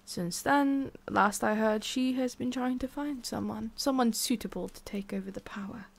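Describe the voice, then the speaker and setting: A woman playing a centuries-old forest witch in an ASMR roleplay, haughty but secretly soft, speaking in a halting, musing way with a prickly edge. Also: a little high, vocal fry. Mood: fond, musing. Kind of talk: ASMR roleplay, addressing the listener.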